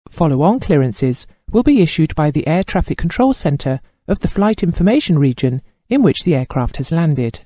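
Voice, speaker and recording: Mellow, dry-sounding male instructional narrator. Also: a little high, no room sound. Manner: formal, measured reading.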